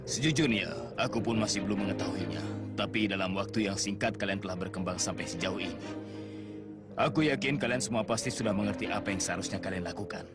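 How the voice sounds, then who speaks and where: A man voicing an earnest cartoon soccer coach, solemn and measured as he encourages. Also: lowish voice, lightly acted. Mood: solemn encouragement. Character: earnest adult male soccer coach and mentor. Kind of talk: dubbed cartoon acting. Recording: loud music over the voice.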